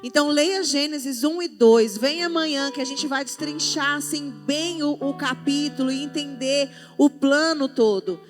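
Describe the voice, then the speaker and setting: Assertive high-voiced woman panelist. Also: moderate pace, few pauses. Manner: assertive, preachy. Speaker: panelist at church youth service. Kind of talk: conversational church panel talk. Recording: music playing underneath.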